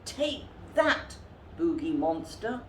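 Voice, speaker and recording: A woman voicing the feisty, bossy littlest brown bear in a children's storybook, shouting out orders. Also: on the low side, sing-song, slow pace, reverberant, slight background noise. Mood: combative.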